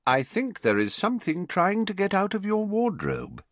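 Man acting elderly wizard schoolmaster, storybook character, calm, stern, deliberate, very expressive pitch, close dry sound. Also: no echo. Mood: calm, knowing. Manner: measured, deliberate, courteous but firmly authoritative.

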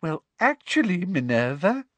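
A smug, sickly sweet woman in authority from a storybook, performed by a man. The voice sits high, simpering and prim, with a lilting range and a dry, close sound. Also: very animated, a little slow, dry recording. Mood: falsely sweet. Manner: sugary, simpering, prim throat-clearing. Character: poisonously sweet, smug simpering female school official.

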